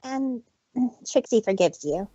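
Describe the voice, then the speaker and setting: A man voicing a young female unicorn magician from a cartoon-style story, earnest, tender and halting. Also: high-pitched, lively intonation, dark tone, no echo, lo-fi sound, voice pushed up. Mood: tender, forgiving.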